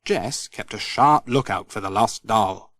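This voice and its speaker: A man narrating a children's storybook as an audiobook reader, in an expressive storytelling manner. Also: fairly low pitch, measured pace, dry recording.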